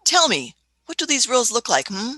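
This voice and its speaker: A woman's voice: fairly high pitch, very animated, a little fast, sharp tone, no echo, studio quality, exaggerated voice. A woman in a radio play acting an eccentric, imperious older time traveller who is fussy, hesitant and repeats her phrases. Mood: fussy, indignant.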